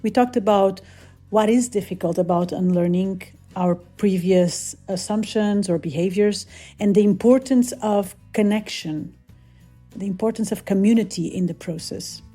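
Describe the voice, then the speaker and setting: A woman from Portugal, hosting a podcast interview over a remote call, friendly and quick to laugh. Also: medium pitch, soft background music. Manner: friendly, laughs often, warm welcomes. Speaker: podcast host. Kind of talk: scripted intro then casual interview.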